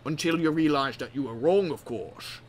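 A man's voice: a little high, normal speed, a little hiss or hum, close to an everyday voice. A man voicing self-important, argumentative railway controllers in a dry manner, in a comic game roleplay. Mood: dry bickering.

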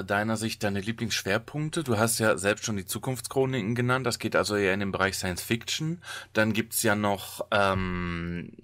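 A man's voice: on the low side, fairly bright tone, dry recording, clean studio sound. A man hosting a casual podcast, asking questions in an informal, jokey way. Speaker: podcast host.

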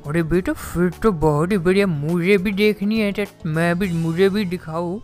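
A man's voice: sits high, a little slow, darkish tone, soft background music. A man voicing an amiable older uncle, marvelling in a folksy, repetitive way. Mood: eager wonder.

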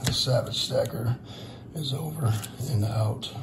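A hoarse man, hosting a casual vlog and talking in a rambling, frustrated way with many fillers. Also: mid-range voice, unhurried, heavy background noise. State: losing his voice, hoarse. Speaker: channel host, silver stacker. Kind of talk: casual vlog talk to viewers. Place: indoors at a table over silver bars. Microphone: camera pointed down at the table, speaker off screen.

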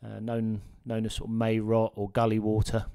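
A man narrating a sea fishing guide as a voice-over, relaxed and full of ums and uhs. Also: fairly low pitch, normal speed, creaky, clean tone.

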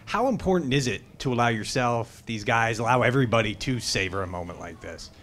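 A man's voice: medium pitch, animated, fairly quick, fairly bright tone, a little hiss or hum. A man working as a reporter, asking a question from the floor of a press conference.

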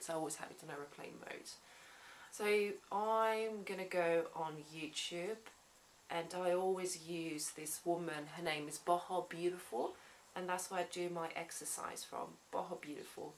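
A woman's voice: fairly low pitch, a little hiss or hum. A woman in her thirties vlogging at home about her day as a lawyer, chatty and reflective, with many ums and restarts, recorded on a handheld camera in a small living room. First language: Swedish. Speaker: vlogger, human rights lawyer. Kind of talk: casual day-in-the-life vlog. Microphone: phone or camera at arm's length, then camera on the floor.

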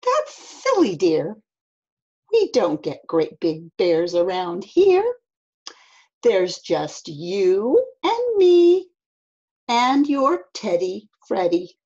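Woman playing a singsong storybook mother, slow and lilting. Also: medium pitch, wildly swooping pitch, warm tone, husky, lo-fi sound, full character voice. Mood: reassuring. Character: brisk, reassuring mother, dismissive of her child's fears. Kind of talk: children's picture-book read-aloud.

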